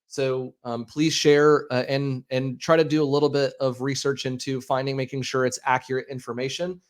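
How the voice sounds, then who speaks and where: An American man in his thirties hosting a livestream, making an earnest, halting appeal to viewers with many fillers and repeated words. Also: mid-range voice, level tone. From United States. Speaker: livestream host. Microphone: speaking into a microphone.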